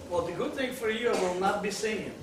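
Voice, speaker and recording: Guy talking to a crowd, bit high voice, far off in an echoey hall, noisy. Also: fast talker. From Soviet Union, grew up in Kyiv. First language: Russian. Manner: joking, laughing, broken English. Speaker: guest speaker at a benefit. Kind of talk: informal talk to an audience. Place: lit stage in an arts center room.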